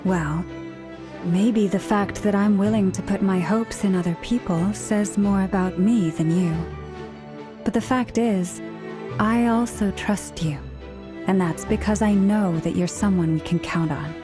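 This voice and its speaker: A gentle, steadfast young woman from a fantasy game, voiced by an actress. She speaks politely and earnestly at a middle pitch, with music clearly underneath. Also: unhurried, lightly acted. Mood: earnest, trusting. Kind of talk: game character voice acting.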